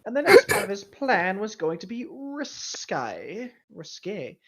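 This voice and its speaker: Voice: medium pitch, big pitch swings, a little slow. A co-host casually chatting and reading fan fiction aloud in a wry, joking way. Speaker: podcast co-host. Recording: dull, lo-fi microphone sound.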